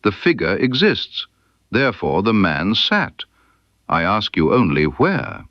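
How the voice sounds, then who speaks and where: A man performing a shrewd gentleman detective in an audiobook mystery. He is composed and precise, pressing each point with quiet control. The pitch moves widely while the pace stays unhurried, in a very dry recording. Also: big pitch swings, no echo, muffled recording. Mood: probing, insistent. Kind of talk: character dialogue in an audiobook.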